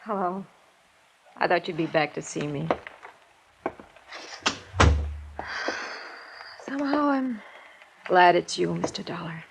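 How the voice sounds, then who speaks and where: An American actress playing a resigned, lovesick nurse in an old radio drama, speaking in a wistful, halting way. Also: female voice, fairly low pitch, animated, creaky, noisy background. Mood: quiet, resigned. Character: heartbroken nurse confessing, resigned, lovesick woman. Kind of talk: 1950s radio drama acting. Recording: vintage radio recording.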